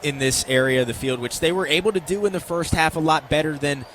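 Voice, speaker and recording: A man's voice: on the high side, fast talker, a bit bright, slight background noise. An American man giving conversational, explanatory color commentary on a live football radio broadcast.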